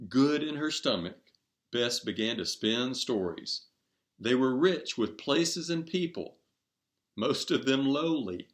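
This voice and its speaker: A man narrates a short story at a slow pace. His pitch sits in the middle range, and he moves through the text phrase by phrase in a measured, deliberate read-aloud style. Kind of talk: scripted narration, storybook reading.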